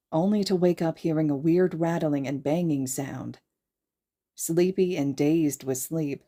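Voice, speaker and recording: A woman's voice: low-pitched, dark tone. A woman reading a story aloud as a podfic narrator, in scripted narration. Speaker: podfic reader and host.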